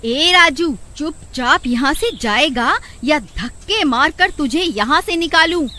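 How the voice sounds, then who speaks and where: A woman voicing a scolding, dramatic village wife in an animated story. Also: sits high, slow, heavily acted. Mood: indignant. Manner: complaining, dramatic. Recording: faint background noise.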